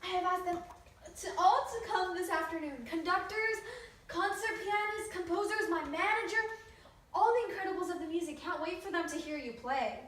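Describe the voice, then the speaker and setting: Woman playing an elderly piano teacher, very high voice. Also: moderate pace, fairly bright tone. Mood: excited. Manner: effusive, theatrical. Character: elderly, passionate, encouraging piano virtuoso and teacher. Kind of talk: amateur readers theatre performance. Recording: echoey room, distant mic, faint noise.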